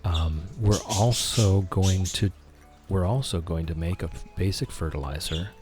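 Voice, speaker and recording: A man hosting a calm, soothing game livestream, chatting gently and in a friendly way with his viewers. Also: deep voice, unhurried, music in the background. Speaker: game streamer, host. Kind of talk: calm, soothing livestream chat over gameplay.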